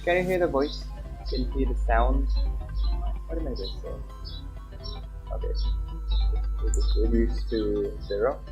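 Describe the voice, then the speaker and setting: A man's voice: mid-range voice, animated, unhurried, long pauses, muffled recording, music in the background. A man livestreaming a game, chatting casually and reading visual novel text aloud. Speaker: live streamer.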